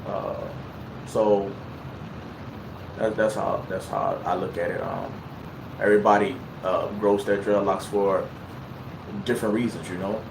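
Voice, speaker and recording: A man's voice: fairly low pitch, steady tone, unhurried, long pauses, reverberant, noisy background. Laid-back man with a light Louisiana lilt. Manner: laid-back, rambling, lots of fillers and restarts. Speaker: hair vlogger answering viewer questions. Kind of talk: casual livestream chat.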